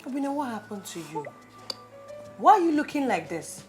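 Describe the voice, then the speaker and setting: A woman's voice: fairly high pitch, sing-song, normal speed, a little mellow, faint music behind. An actress playing a worried young woman questioning her injured brother. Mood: alarmed. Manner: anxious questioning.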